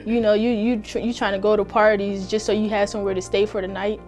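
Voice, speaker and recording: A woman's voice: mid-range voice, level tone, quick pace, a little mellow, faint music behind. A woman in her early twenties in a documentary interview, talking in a loose, rambling way with many fillers. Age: early 20s. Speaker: former foster youth interviewee.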